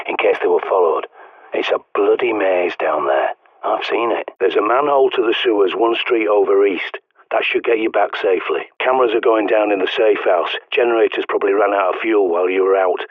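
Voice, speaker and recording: Sarcastic british survivalist on a crackly radio, dry and close up. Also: male voice, lowish voice, husky, no room sound. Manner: sardonic, blunt, swears often. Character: gruff male survivalist radio guide, cynical. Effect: radio transmission. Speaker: radio guide character. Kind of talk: video game voice acting. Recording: tinny radio line.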